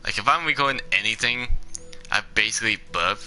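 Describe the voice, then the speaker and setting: A man's voice: fairly low pitch, a little fast, sharp tone, soft background music, poor audio. A young man giving casual, rambling commentary while playing a game, leaning on "basically" and laughing often. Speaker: gaming YouTuber.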